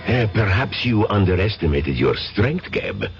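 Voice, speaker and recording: A man's voice: fairly low pitch, sing-song, unhurried, faint music behind. A man playing a suave, menacing foreign crime boss in a radio drama, with a mocking foreign-sounding accent. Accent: French-sounding foreign. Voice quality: gravelly, smooth and mocking. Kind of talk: 1970s radio drama acting. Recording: dull vintage radio broadcast, faint hiss.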